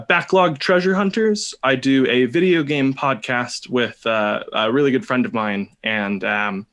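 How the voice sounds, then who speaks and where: Male podcast guest, Californian accent, medium pitch, informal anecdotal delivery with hesitant, wandering phrasing. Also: a bit bright. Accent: Californian American. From California, United States. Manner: hesitant, rambling, with many pauses. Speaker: podcast guest telling a personal story. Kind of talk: casual anecdotal storytelling.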